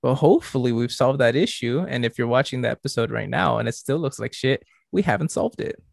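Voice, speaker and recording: A young man co-hosting a podcast, teasing his friend with quick comebacks in casual chat. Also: medium pitch, creaky, dry recording, clean studio sound. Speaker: podcast co-host.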